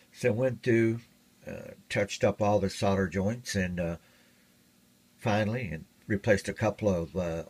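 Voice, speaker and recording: A man's voice: low-pitched, darkish tone, breathy edge. An older man talking in a casual, folksy, plainspoken way as he shows off a radio he repaired. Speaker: radio hobbyist. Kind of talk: casual show-and-tell.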